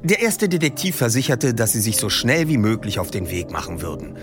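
A man's voice: medium pitch, sing-song, brisk, over music. A man hosting a podcast who introduces the episode and then reads a passage from a book aloud. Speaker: podcast host and audiobook reader.

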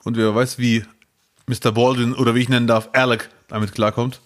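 A man in his thirties with a mid-range voice co-hosts a relaxed podcast. He tells stories with animated energy and drops jokes along the way. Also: medium pitch, a little fast. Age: 30s. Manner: animated storyteller, lively and jokey. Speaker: podcast co-host. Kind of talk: casual podcast chat.

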